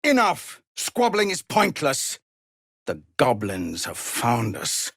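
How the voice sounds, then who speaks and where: An actor playing a weary, dutiful leader of refugee outcasts in a video game, speaking formally and earnestly. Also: male voice, mid-range voice, huge pitch swings, slow pace, vocal fry, fairly bright tone, no echo, clean studio sound, heavily acted. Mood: furious, shouting. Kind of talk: dramatic video game voice acting.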